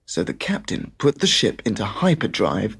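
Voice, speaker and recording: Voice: mid-range voice, big pitch swings, moderate pace, gravelly, slightly rough. A storyteller reading a children's tale as dramatic scripted narration.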